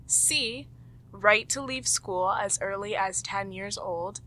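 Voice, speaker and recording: A woman with a Canadian accent and a bright, forward voice at an average pitch. She speaks as a host, presenting to listeners and guiding a group. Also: takes it slowly, poor audio. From Toronto, Canada. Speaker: host and quizmaster. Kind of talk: presenting a quiz and leading a group discussion.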